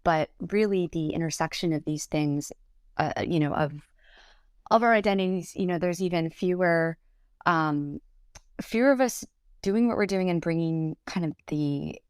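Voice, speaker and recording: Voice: medium pitch, moderate pace, clean tone, no echo, clean studio sound. A taiko performer and educator introducing their group on a podcast, rambling and full of filler words. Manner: rambling with many fillers and restarts.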